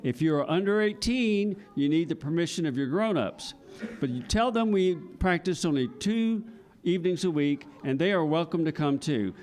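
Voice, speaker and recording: A man's voice: on the high side, lively intonation, normal speed, a little mellow. A man hosting a children's audio theater show, announcing to a live family audience.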